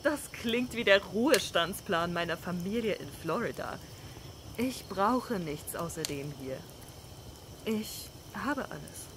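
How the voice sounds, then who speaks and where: A woman's voice: medium pitch, animated, takes it slowly, noisy background. A woman voicing a blunt, free-spirited young drifter in a video game fan dub, casual, coarse and sarcastic. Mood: defiant. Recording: night crickets and outdoor ambience behind.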